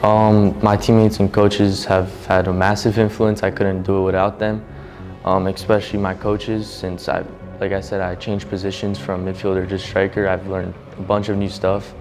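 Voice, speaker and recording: A teenage male soccer player giving earnest interview answers with filler pauses. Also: low-pitched, level tone, a little mellow, soft background music. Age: teens.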